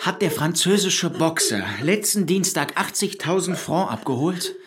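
An actor playing an insistent, businesslike police detective in a German-language radio drama. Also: male voice, high voice, lively intonation, a little slow, crowd noise. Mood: pressing.

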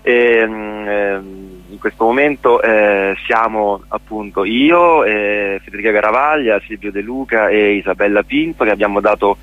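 A man speaking as an actor and guest in a radio interview, explaining in a halting way and often searching for words. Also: on the low side, no echo, narrow, tinny audio. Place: radio programme.

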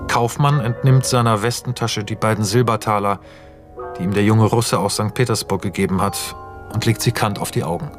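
A man narrating screenplay directions in a radio drama, in a measured, matter-of-fact way. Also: on the low side, steady tone, music in the background, close to an everyday voice. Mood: measured, sober. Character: sober narrator reading out screenplay scene directions.

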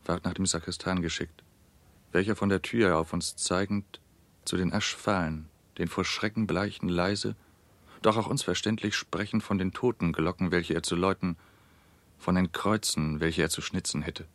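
An actor playing a shaken, plague-marked townsman in a radio play, speaking in husky, fragmented, stylised phrases. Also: low-pitched, fairly even intonation, normal speed, dry recording. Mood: shaken, solemn.

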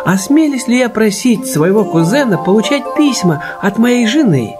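An actor playing a polite, shrewd Chinese detective with courteous, flowery manners in a radio play. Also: male voice, sits high, big pitch swings, moderate pace, music in the background. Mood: politely curious. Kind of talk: Russian radio play acting.

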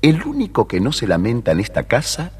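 A man's voice: mid-range voice, huge pitch swings, brisk. A man narrating a scripted children's story as the storyteller. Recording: faintly noisy vintage story record.

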